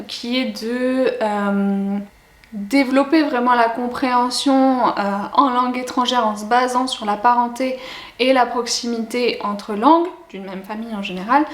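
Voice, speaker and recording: A woman with a fairly high voice gives an explanatory educational talk. She addresses her viewers directly in a didactic, instructional way. The recording is clearly roomy, with noticeable echo around her voice. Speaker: language teaching presenter. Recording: echoey, roomy sound.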